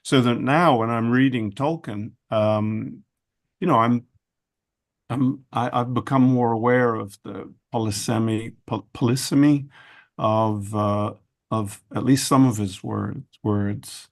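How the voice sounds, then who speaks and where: A man speaking as an author on a roundtable panel, halting and thoughtful with many fillers and restarts. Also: medium pitch. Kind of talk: academic roundtable discussion.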